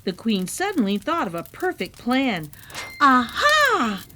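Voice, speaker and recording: A woman's voice: mid-range voice, moderate pace, a little hiss or hum. A woman narrating a children's story expressively, as a read-aloud host with a playful manner. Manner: animated, with playful asides.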